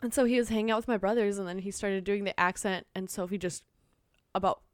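A woman's voice: mid-range voice, fast, clear, resonant tone, dry recording, clean studio sound. A young woman from Minnesota with a slight Minnesotan accent, chatting casually on a podcast and acting out quotes as she tells stories. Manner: animated storytelling, acts out quotes, laughs often. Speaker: podcast guest. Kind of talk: casual chat.

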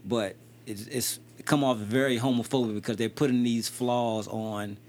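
A man's voice: mid-range voice, fairly even intonation, normal speed, a little mellow. A man in his thirties hosting a podcast in casual conversation, often asking "you know what I mean". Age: 30s. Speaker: podcast host.

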